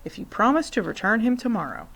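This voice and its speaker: A woman's voice: on the high side, very animated, fast, creaky, a bit bright, slight background noise, voice pushed up, heavily acted, low-quality recording. Woman doing an eager little boy. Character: small excited six-year-old boy.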